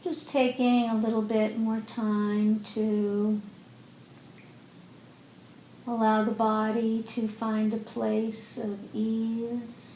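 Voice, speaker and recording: A woman leading a guided meditation as a teacher, speaking gently and unhurriedly with long pauses in an indoor room. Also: level tone. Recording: roomy echo, faint rumbling background noise.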